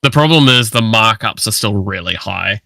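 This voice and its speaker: A man's voice: fairly low pitch, expressive, moderate pace, vocal fry, bright, forceful tone, no room sound, clean studio sound. A man, chatting casually and slangily on a livestream, swearing freely. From New Zealand. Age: 20s. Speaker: streamer.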